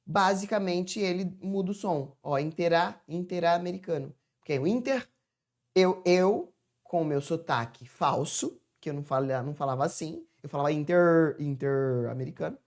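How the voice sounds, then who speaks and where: A woman with a somewhat low-pitched voice teaches a grammar lesson from home. She talks to viewers in a chatty, familiar way and slowly stretches words out syllable by syllable to show their sounds. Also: darkish tone. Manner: chatty, addresses viewers as "gente". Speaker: Portuguese teacher. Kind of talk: informal online grammar lesson.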